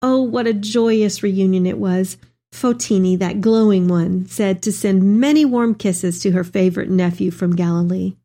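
A woman playing an elderly, devout mother reading her tender letter aloud in an expressive, affectionate dramatic reading. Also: mid-range voice, moderate pace, lightly acted. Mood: fond, joyful.

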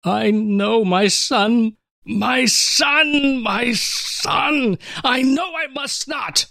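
A man voicing an aged, weeping patriarch in a dramatic reading, crying out in high, strained anguish. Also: very slow, vocal fry, pushed and bright, dry recording, studio quality, full character voice.

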